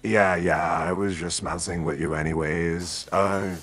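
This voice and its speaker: A man playing a laid-back, teasing young man in an animated roleplay drama, talking casually. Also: low-pitched, unhurried, slightly rough, faint music behind, full character voice. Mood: teasing, relaxed.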